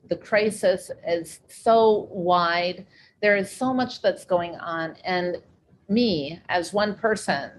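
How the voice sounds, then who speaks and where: A woman hosting an interview, speaking in a reflective, measured way. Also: on the low side, slight background noise, poor audio. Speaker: interview host. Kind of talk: conversational interview.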